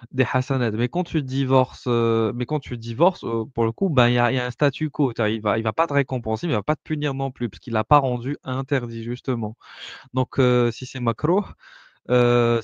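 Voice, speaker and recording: A man talks through a subject in a relaxed discussion, pausing often and restarting his sentences as he explains. His voice comes through a muffled, lo-fi recording with no room echo. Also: mid-range voice. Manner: hesitant, many fillers and restarts, explaining patiently. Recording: dull lo-fi sound, dry.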